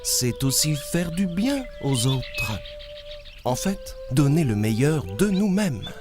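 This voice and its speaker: A man's voice: a little high, slow. An actor voicing a friendly adult male storyteller in a children's cartoon. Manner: warm, lively storytelling. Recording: cartoon with music underneath.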